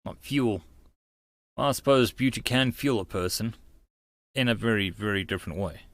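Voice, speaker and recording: A man narrating a visual novel as a first-person audiobook, expressive and given to informal asides. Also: on the low side, moderate pace, no room sound.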